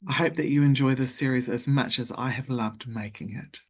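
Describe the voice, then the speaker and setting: A woman's voice: extremely low pitch, brisk, slightly rough. A woman, hosting a podcast in a casual, chatty interview style. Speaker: podcast host.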